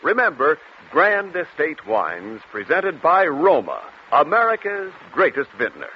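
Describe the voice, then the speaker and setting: A man's voice: a little high, wildly swooping pitch, a little slow. A man working as a radio announcer, reading a sponsor advert in 1940s broadcast style. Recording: dull, lightly noisy vintage radio recording.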